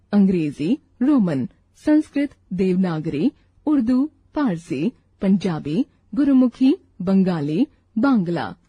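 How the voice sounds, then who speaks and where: A woman narrating a scripted grammar lesson from a textbook, reciting rules and example lists in a measured way. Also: medium pitch, mellow, husky, dry recording.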